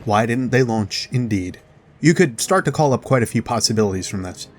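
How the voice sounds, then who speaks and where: A man's voice: medium pitch, animated, fairly quick. Chatty man explaining things over music. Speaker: video host and commentator. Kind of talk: game lore commentary voice-over.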